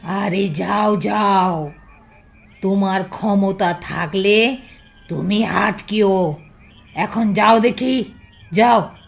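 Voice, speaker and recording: Woman as a slow, taunting village sorceress. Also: mid-range voice, vocal fry, exaggerated voice. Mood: scornful, defiant. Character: haughty, defiant village sorceress, scornful and wicked. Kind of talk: horror audio drama acting.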